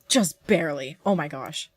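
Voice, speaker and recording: A woman game streamer giving casual, excitable live reactions and gushing over what she watches. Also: low-pitched, husky, dry recording.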